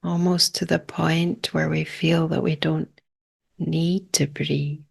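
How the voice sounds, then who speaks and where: Female meditation guide: very slow, even delivery, calm, short phrases, generous pauses. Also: deep voice, vocal fry, breathy edge. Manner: calm, unhurried, hushed phrases with long pauses.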